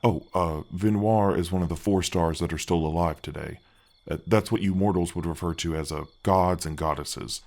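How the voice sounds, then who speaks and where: Gargoyle guardian played by a man, ancient but gentle: very low, effect-deepened voice, friendly, reassuring, slightly awkward fantasy ASMR delivery. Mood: chatty, explaining. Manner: halting, friendly, reassuring, chatty. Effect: deep voice effect.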